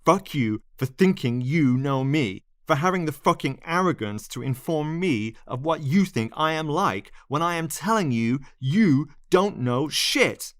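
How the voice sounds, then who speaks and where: A man's voice: deep voice, moderate pace, a bit bright, no room sound, clean studio sound. A man doing a cold, clipped, swearing lover. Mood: furious. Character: cold, curt man rejecting a clingy lover.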